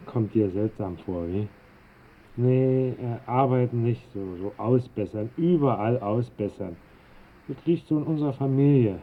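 An actor playing an old, restless, eccentric master bricklayer who grumbles and rambles in a radio drama. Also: male voice, mid-range voice, unhurried, a little hiss or hum, close to an everyday voice. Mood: grumbling, restless. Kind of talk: 1960s German radio drama acting. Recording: muffled band-limited old radio recording.

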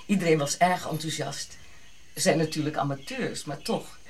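A woman's voice: deep voice, normal speed, a little hiss or hum. A middle-aged woman playing a pushy colonial society lady in a radio drama, gushing, grand and coquettish. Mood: flirtatious, gushing.

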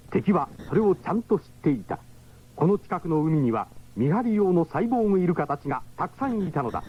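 A man's voice: a little high, breathy edge. A man narrating a 1970s radio drama in a suspenseful storyteller's style. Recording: dull lo-fi 1970s radio recording.

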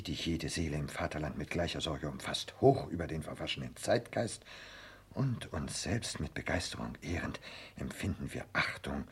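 An actor playing a stiff, fervent private secretary in a 1960s German radio play, speaking formally and declaiming with precision. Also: male voice, very deep voice, gravelly, a bit bright, exaggerated voice. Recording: noisy vintage radio recording.

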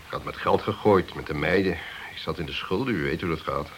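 An actor playing a guarded, vain petty crook under questioning in a radio play, terse and evasive. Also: male voice, low-pitched, a little fast. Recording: hissy old radio play recording.

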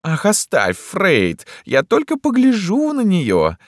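A man voicing a lecherous, pompous emperor in an audiobook, cooing and grandiose, with drawn-out exclamations. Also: high-pitched, wildly swooping pitch, dry recording, studio quality, pitched above the performer's own voice, heavily acted. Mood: wheedling. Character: theatrical lecherous emperor, wheedling, grandiose, gallant and menacing.

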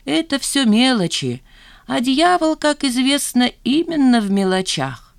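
A woman voicing a sly, self-righteous old father with a slow, drawling, needling delivery in an audiobook. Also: sits high, very animated, vocal fry, dry recording, studio quality, voice pushed up, full character voice. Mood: aggrieved, smug. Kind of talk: audiobook character dialogue.

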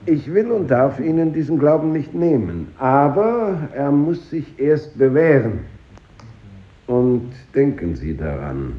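An actor playing a pompous, ironic grammar-school teacher who lectures his class in measured rhetoric, in 1950s radio drama style. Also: medium pitch, natural voice. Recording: muffled old radio recording, echoey room.

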